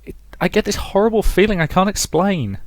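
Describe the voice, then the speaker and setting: Man voicing an anxious young woman, pitched up. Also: lively intonation, no echo. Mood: anxious, insecure. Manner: halting, earnest, confiding. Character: emotional young woman, tipsy, insecure, affectionate.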